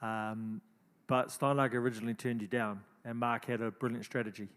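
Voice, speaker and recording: A man interviewing a guest on stage, his questions loose and peppered with fillers. His middle-pitched voice carries a long hall reverb. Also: level tone, a little fast, fairly bright tone, smooth. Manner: conversational, hesitant with fillers.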